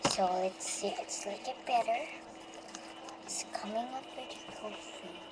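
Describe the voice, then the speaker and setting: A woman hosting a homemade cooking show, narrating each step slowly and haltingly. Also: on the high side. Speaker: home cooking show host. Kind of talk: casual cooking demonstration. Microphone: webcam microphone. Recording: noisy webcam recording.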